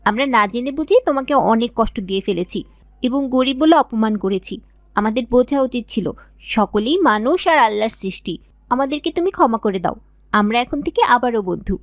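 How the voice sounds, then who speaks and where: Fast, high-voiced proud little girl by a woman. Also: lively intonation, no echo, clean studio sound. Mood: apologetic. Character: haughty, class-proud young girl, a playmate. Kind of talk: scripted moral cartoon dialogue.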